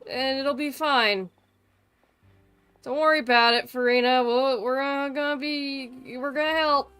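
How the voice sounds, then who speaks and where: Streamer girl chatting over a game, high smooth voice, swears a lot, blunt jokey, very dry sound. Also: steady tone, unhurried, fairly bright tone, dry recording, faint music behind. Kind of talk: casual live gameplay commentary.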